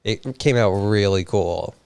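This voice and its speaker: A man's voice: fairly low pitch, a little slow, vocal fry, darkish tone, clean tone, dry recording. A man co-hosting a live stream, rambling casually with playful teasing. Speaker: streamer co-host.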